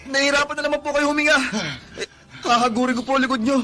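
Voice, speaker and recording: A man's voice: very high-pitched, fairly even intonation, rapid-fire. A man playing a poor, long-suffering, devoted son in a Tagalog radio drama, speaking earnestly and respectfully. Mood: pleading, anguished.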